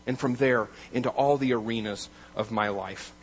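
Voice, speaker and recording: A man, as a pastor, preaching a sermon, building to loud, emphatic declarations and then dropping to quiet, measured reading. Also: mid-range voice, slightly rough, rough recording.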